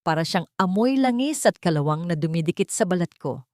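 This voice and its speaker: A woman's voice: lowish voice, animated, fairly quick, darkish tone, dry recording, studio quality, close to an everyday voice. A woman voicing a cruel, jealous, possessive husband in a cold, domineering manner in an audio drama. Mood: cold disgust.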